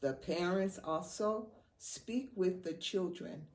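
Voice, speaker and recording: Slow, earnest Kenyan woman, roomy and distant. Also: lowish voice, darkish tone. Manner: earnest, unhurried advice with pauses and fillers. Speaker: early childhood guest expert. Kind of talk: podcast interview. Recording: roomy sound from a distant mic.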